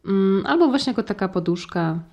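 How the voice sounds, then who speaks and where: A woman's voice: mid-range voice, animated, a little fast, vocal fry, mellow, lo-fi sound. A woman co-hosting a podcast, talking in a casual, conversational style. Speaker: podcast co-host. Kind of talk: casual chat.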